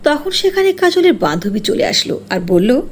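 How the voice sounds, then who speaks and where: A woman's voice: high-pitched, big pitch swings, moderate pace. A woman narrating a scripted cartoon fairy tale.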